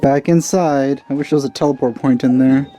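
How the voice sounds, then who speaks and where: A man's voice: mid-range voice, fairly even intonation, brisk, dark tone. A man giving casual, musing commentary as he plays a video game, with faint music behind. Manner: musing, informal. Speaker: gamer playing and commenting.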